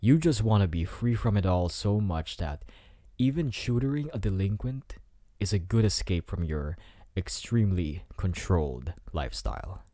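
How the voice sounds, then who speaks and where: A man's voice: low voice, lively intonation, warm tone, no echo. Guy playing a cocky flirty delinquent, asmr roleplay, teasing, really swoopy intonation, dry close mic. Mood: smug, probing. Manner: teasing, smug, drawn-out delivery. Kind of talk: intimate ASMR roleplay acting. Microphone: close microphone in a dry space.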